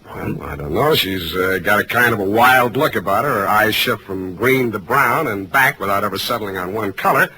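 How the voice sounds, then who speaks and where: Low, deadpan American private eye. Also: male voice, normal speed, few pauses. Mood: dry, wry. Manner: deadpan, clipped narration and dry banter. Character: wry, hard-boiled male private detective, laconic and cynical. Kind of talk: 1940s radio acting. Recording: noisy old radio drama recording.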